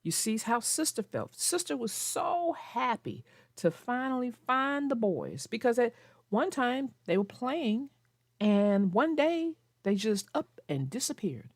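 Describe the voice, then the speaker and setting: A woman narrating a children's book aloud and commenting on it, with expressive, emphatic, deliberate phrasing. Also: on the high side, dry recording, studio quality. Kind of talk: expressive storybook read-aloud with informal commentary.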